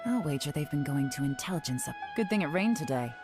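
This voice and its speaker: A woman playing a cool, shrewd, self-assured investigator in a video game, measured and knowing. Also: sits low, lively intonation. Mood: suspicious, knowing. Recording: game music behind.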